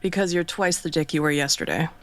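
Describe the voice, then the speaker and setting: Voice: mid-range voice, fast, fairly bright tone, no room sound, lightly acted. A performer playing a sarcastic radio co-host who trades insults and reads the news. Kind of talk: radio drama acting.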